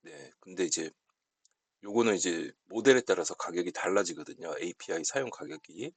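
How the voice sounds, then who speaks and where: A man explaining software settings step by step in an unscripted tutorial, speaking politely as an instructor. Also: low voice, big pitch swings, a little fast, vocal fry, husky, dry recording.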